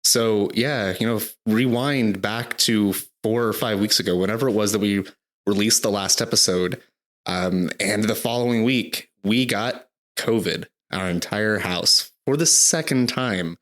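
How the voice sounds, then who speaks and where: A man in his thirties co-hosting a casual podcast, talking in a rambling way with lots of fillers and a slightly hoarse throat. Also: fairly low pitch, measured pace, fairly bright tone. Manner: rambling, filler-heavy, restarts often. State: slightly hoarse, recovering from illness. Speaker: podcast co-host. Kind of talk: casual chat.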